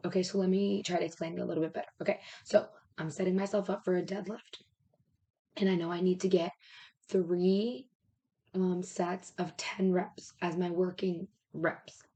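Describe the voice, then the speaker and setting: A woman's voice, a little low and raspy, as if she is losing it. She speaks as a health and fitness coach in casual talk. Her delivery is informal and rambling, explaining step by step with frequent check-ins. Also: flat delivery, normal speed, dark tone.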